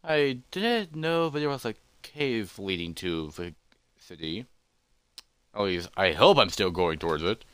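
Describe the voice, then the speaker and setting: A man voicing a calm humanoid elephant adventurer who mutters casually and thoughtfully to himself in a story. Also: lowish voice, measured pace, clear, resonant tone, no room sound, pitched below the performer's own voice. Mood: musing, mild.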